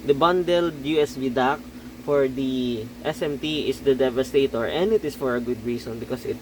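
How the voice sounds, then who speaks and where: Man giving a halting gear review. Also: mid-range voice, a little mellow, a little hiss or hum, poor audio. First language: Tagalog. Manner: halting, with many fillers and self-corrections, checking in with "okay?". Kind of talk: casual spoken product review.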